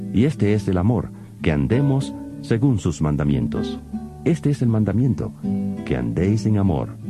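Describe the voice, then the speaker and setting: A man with a strikingly low voice reads scripture in a solemn, measured way. His delivery swings widely in pitch and sinks to a hush at verse endings. Clear guitar music plays beneath him. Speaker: Bible reader. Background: plucked guitar music.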